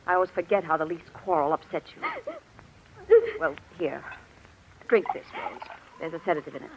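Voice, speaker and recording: An actress playing a jealous young woman, sharp and commanding, secretly scheming, in old-time radio drama style. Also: deep voice, normal speed. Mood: coaxing, controlling. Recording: muffled, noisy vintage radio recording.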